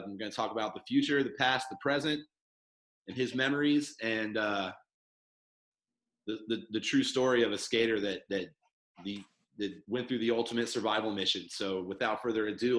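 A man's voice: fairly low pitch, a bit bright. Rambling male podcast host, echoey room. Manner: loose, rambling, with many fillers and restarts. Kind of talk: casual podcast chat. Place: studio headquarters room.

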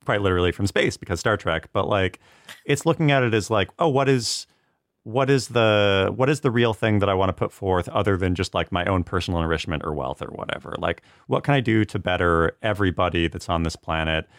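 A man co-hosting a podcast, talking in a relaxed, jokey way with plenty of filler words. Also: sits low, fairly quick, dry recording, clean studio sound. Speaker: podcast co-host. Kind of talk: casual podcast discussion.

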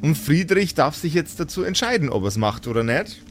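A man narrating an improvised fantasy story as game master, with theatrical, relishing, drawn-out phrasing. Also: a little high, very animated, a little hiss or hum.

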